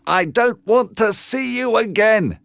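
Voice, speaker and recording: A man's voice: high voice, lively intonation, slow pace, vocal fry, a bit bright, smooth, no room sound, soft background music, voice pushed up, full character voice. Man as an impatient, emphatic lovestruck fisherman. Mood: dismissive, angry. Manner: emphatic, curt, dismissive. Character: impatient, lovestruck male fisherman, dismissive of his soul. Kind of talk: bedtime storybook character voice.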